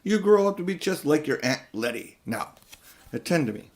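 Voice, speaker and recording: A man's voice: very animated, normal speed, a little mellow. A man voicing a haughty, manipulative older uncle who is a magician in a children's audiobook, speaking in a condescending, smug way. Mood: cool, instructive. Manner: high and mighty, condescending, coolly smug. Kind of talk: children's audiobook character reading.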